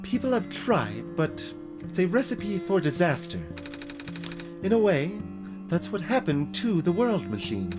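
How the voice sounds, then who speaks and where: A let's player voicing a weary, grave robot guide from a video game, explaining things in a measured way. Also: mid-range voice, big pitch swings. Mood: earnest, explanatory. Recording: music behind the voice.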